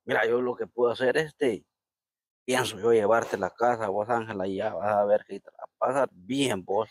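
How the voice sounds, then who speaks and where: A middle-aged man with a Salvadoran accent and husky voice, playing a smooth-talking, self-serving lover in an amateur skit. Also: lowish voice, steady tone, normal speed, no room sound, clean studio sound, close to an everyday voice. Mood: coaxing. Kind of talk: amateur rural skit dialogue. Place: outdoors in a rural yard and pasture.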